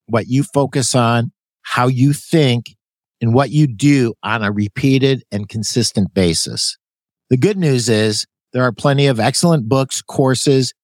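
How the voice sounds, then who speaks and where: A man's voice: mid-range voice, dry recording. A Canadian man in his sixties hosting an interview podcast, reading from his own book with loose, folksy asides and self-corrections. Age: 60s. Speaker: podcast host.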